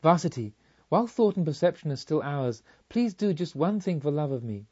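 A man's voice: fairly high pitch, very animated, moderate pace, darkish tone, slightly rough, lo-fi sound. A man voicing a restless, yearning male pilgrim seeker in an audiobook, earnest and pleading.

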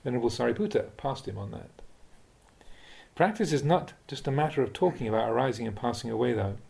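A man, a Buddhist monk, reading spiritual teachings aloud in a measured, deliberate, instructive way, like an audiobook of a talk. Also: mid-range voice, expressive, gravelly, a little mellow. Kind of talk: audiobook-style reading of a dharma talk with questions and answers.

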